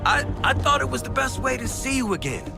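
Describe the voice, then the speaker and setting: A man's voice: very high-pitched, very animated, gravelly, music in the background, exaggerated voice. A man playing a protective, exasperated middle-aged father and hardened mercenary in a video game, emphatic and indignant. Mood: pleading. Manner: emphatic, indignant, halting when flustered. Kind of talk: dramatic video game acting.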